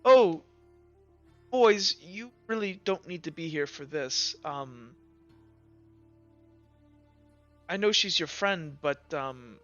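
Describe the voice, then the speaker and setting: A man voicing a sullen woman guard captain in a tabletop game, gentle with youngsters and halting in speech. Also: on the high side, lively intonation, highs cut off, soft background music. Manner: hesitant, halting.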